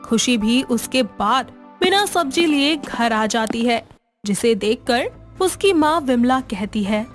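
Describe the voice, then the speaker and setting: Female narrator for scripted moral stories, slightly high pitch, music underneath. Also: lively intonation, slow pace.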